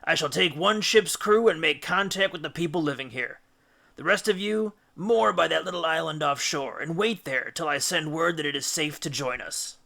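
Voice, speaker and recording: A man's voice: a little high, normal speed, vocal fry, bright, forceful tone. A man voicing a proud, diplomatic hero and ship's captain in a children's storybook, commanding and a little pompous. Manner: slightly pompous, commanding, declamatory.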